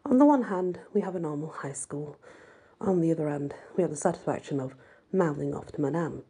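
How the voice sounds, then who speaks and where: A woman reading a first-person story aloud with expressive, dramatic delivery and a husky, breathy voice. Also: deep voice, brisk. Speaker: amateur audiobook reader.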